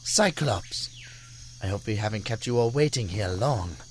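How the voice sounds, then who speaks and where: A man's voice: fairly low pitch, lively intonation, moderate pace, a little mellow, close to an everyday voice. A man playing a polite, easily offended mutant, formal and theatrical, in an audio drama. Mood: courteous. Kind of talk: fan audio drama acting. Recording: clear background noise.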